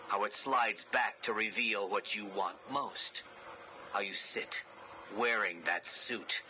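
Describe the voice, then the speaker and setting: A man's voice: on the low side, bright, forceful tone, slight background noise, heavily acted, low-quality recording. A man voicing an arrogant, narcissistic genius villain in a video game, with a smug, theatrical, mocking delivery.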